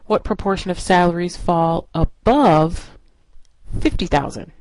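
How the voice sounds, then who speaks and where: Low-pitched woman instructor, close dry sound. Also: a little slow, a little mellow, no room sound, poor audio. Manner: explanatory, step-by-step. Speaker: statistics instructor. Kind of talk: instructional lecture narration.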